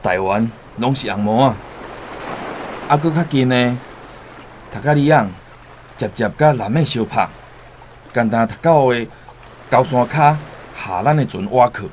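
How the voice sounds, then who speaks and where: An actor playing a stubborn, reasoning older brother with a Taiwanese accent and a measured, admonishing manner in a radio drama. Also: male voice, medium pitch, a little hiss or hum, natural voice. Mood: assured.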